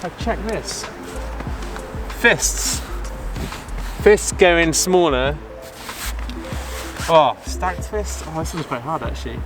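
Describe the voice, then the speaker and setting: A man's voice: a little high, lively intonation, slow, long pauses. A man vlogging outdoors, chatting keenly to camera with a lot of filler words, music behind. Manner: chatty and enthusiastic, many fillers like "like". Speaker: climbing vlogger. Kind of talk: casual talk to camera. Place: outdoors beside a concrete wall.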